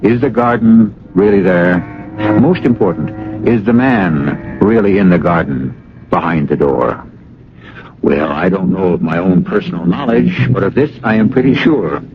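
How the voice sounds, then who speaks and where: A man hosting a radio mystery series with dramatic, deliberate, literary narration. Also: sits low. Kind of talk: theatrical scripted radio narration. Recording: dull old radio broadcast, music.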